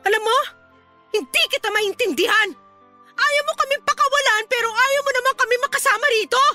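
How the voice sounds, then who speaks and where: A woman's voice: extremely high pitch, a little fast, bright, forceful tone, faint music behind, heavily acted. A woman playing a distressed young mother standing up to an abusive partner in an emotional Tagalog radio drama. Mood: defiant. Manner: emotional, confrontational.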